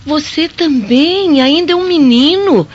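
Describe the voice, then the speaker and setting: A woman acting in a radio drama as a gentle Roman mother, speaking in a measured, theatrical way. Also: high voice, expressive, a little hiss or hum. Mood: gently chiding. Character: gentle Roman matron, mother of two boys. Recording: dull lo-fi radio drama recording.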